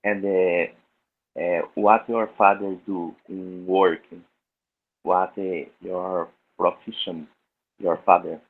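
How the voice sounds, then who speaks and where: A man from Brazil, a native Portuguese speaker, asking questions on a video call in halting, simple English. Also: low voice, little pitch movement. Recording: tinny phone-like call line.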